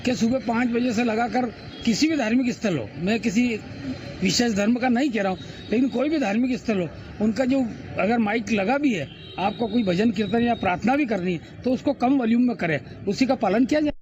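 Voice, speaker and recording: An Indian man gives his opinion in an extremely high-pitched voice. It is recorded outdoors, with clear street and vehicle noise. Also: a little fast, muffled recording. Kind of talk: spoken opinion to camera. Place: outdoors with traffic.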